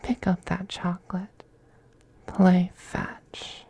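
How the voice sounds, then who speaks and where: A man playing a possessive, teasing femboy vampire in an intimate ASMR roleplay, speaking softly and breathily, often in a whisper, with coaxing, condescending tenderness. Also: high-pitched, completely flat delivery, drawn-out words, full character voice. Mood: veiled threat.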